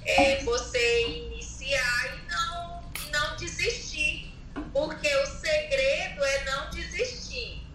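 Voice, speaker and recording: Woman from alagoas, talks slow with lots of pauses, high bright voice, echoey and far from the mic. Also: slight background noise. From Alagoas, Brazil. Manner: slow, halting, many pauses and fillers. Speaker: network marketing participant, guest. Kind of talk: hesitant conversational testimony. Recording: echoey distant mic with faint ambience.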